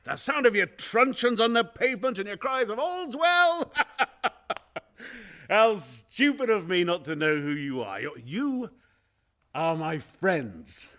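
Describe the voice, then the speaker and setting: An actor playing a nervous, guilty murderer in a radio play, stammering and repeating himself in an over-eager, ingratiating way. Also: male voice, high voice, sing-song, takes it slowly, fairly bright tone, heavily acted. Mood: nervous friendliness. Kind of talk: theatrical radio play acting.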